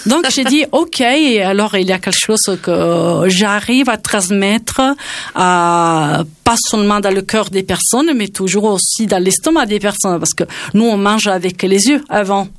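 A woman in her forties from Naples talks in an interview with a clear Italian accent. She hesitates often and fills the gaps with fillers as she searches for words. Her voice sits in a middle pitch and is recorded dry and close. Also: a little slow, dry recording. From Naples, Italy. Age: 40s. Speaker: cook, guest. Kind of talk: interview conversation.

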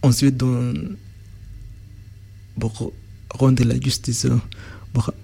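A man's voice: mid-range voice, completely flat delivery, very slow, creaky, mellow, breathy edge. A young man from Sudan, a native Arabic speaker, answering interview questions in French with frequent restarts as he searches for words.

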